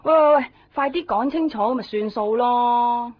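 An actress playing a blunt but caring, talkative young friend in a 1990s radio drama, with a Hong Kong Cantonese accent. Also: female voice, on the high side, measured pace, natural voice. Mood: blunt, urging. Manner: teasing, chatty, blunt. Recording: dull 1990s radio recording, some music.

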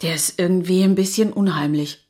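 A woman's voice: on the low side, a little fast, a little mellow, husky. An actress playing a sardonic married city woman in an audio drama, speaking in a dry, ironic, conversational way. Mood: uneasy. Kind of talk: German audio drama acting.

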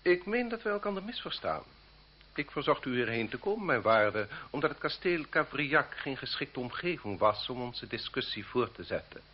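Pompous marquis, swooping intonation. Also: male voice, a little high. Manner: pompous, ceremonious. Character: stately, coldly courteous aristocratic marquis, condescending. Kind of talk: Dutch radio play acting. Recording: muffled old radio play recording.

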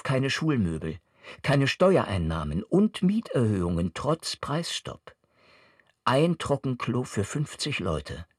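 A man giving a formal, scripted reading in a measured and earnest manner. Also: mid-range voice, breathy edge, no room sound, studio quality. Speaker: reader of an official letter.

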